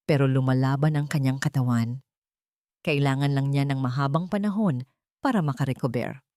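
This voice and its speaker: A woman playing a tired, reassuring doctor in an audiobook drama, formal and gentle. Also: rumbling low, moderate pace, dry recording, clean studio sound, pitched below the performer's own voice.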